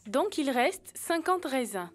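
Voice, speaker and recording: A woman's voice: high-pitched, sing-song, slow pace, heavily acted. A woman voicing a responsible, kindly young friend in a children's educational cartoon, with an instructive manner.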